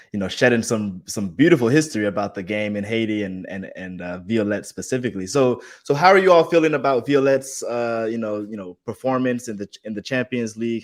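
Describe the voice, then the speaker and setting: A man hosts a casual podcast interview with a slightly low voice. He sounds enthusiastic and leans on fillers and repeated words as he asks his questions. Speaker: podcast host.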